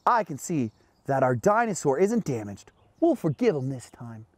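Bossy male museum boss put on by a story reader: commanding, animated pitch, dry sound. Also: moderate pace, no room sound. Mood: relenting. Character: bossy, indignant male museum director barking orders. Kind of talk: storybook character voice.

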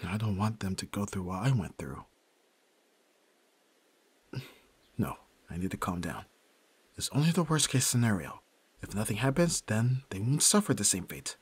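A man's voice: on the low side, slightly rough, heavily acted. A man playing a brooding, protective young vampire boyfriend in an ASMR audio roleplay. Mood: anxious.

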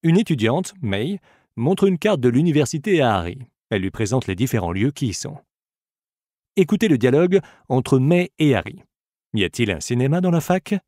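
A man's voice: medium pitch, big pitch swings, fairly quick, mellow, no room sound, studio quality. A man narrating a scripted bilingual audiobook lesson as a teacher, addressing the listener in an encouraging way.

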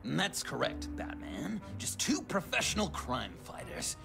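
An actor playing a manic, needy young clown vigilante in a video game, theatrical and excitable, prone to stammering. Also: male voice, on the high side, a little slow, creaky, heavily acted. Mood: nervous, eager. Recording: loud dramatic music behind.

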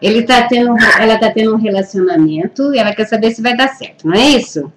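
A woman's voice: on the high side, quick pace, a little hiss or hum. A middle-aged woman with a Brazilian accent hosting a live web TV call-in show, bubbly and laughing often, recorded on a webcam in a small room. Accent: Brazilian Portuguese. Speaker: web TV host taking call-ins. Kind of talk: lively live call-in chat. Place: small indoor room, seated at desk.

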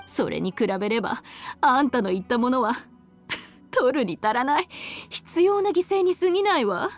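An elegant, cruel villainess from an anime game. Her voice sits fairly high and swings expressively as she taunts with aristocratic disdain. Faint music plays behind her. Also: lively intonation. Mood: scornful. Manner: condescending, mocking, drawn-out aristocratic taunting. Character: haughty, cruel, elegant villainess harbinger, adult woman. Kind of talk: Japanese anime game voice acting. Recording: studio voice over game music.

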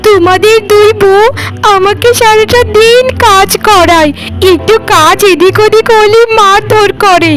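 Woman acting sulky scheming village girl in a cartoon, high pitched, aggrieved and dramatic, music behind her. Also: steady tone, a little slow, poor audio. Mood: tearful, wronged. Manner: theatrical, aggrieved. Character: scheming, sulky, self-pitying young sister-in-law, a village girl. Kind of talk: Bengali family cartoon dialogue.

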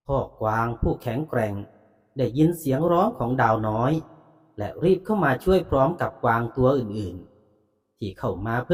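A man calmly narrating bedtime fables in a measured storytelling voice. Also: medium pitch. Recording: long reverb.

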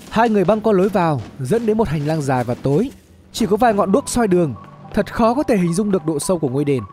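A man reading a scripted dramatic story as narrator, in an expressive storytelling manner. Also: sits high. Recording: music bed under the voice. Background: background music.

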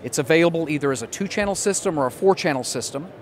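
A man's voice: fairly high pitch, animated, quick pace. An American man in his fifties giving a product demonstration as a sales manager. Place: large indoor trade show hall, at a booth. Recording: clear trade show floor noise. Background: busy exhibition hall ambience.

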